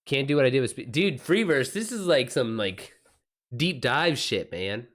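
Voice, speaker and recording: A man's voice: mid-range voice, animated, a bit bright. Casual, slangy man chatting on a livestream. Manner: informal, slangy, swearing, halting. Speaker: livestream host and writer. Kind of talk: casual livestream chat, reacting to viewer messages.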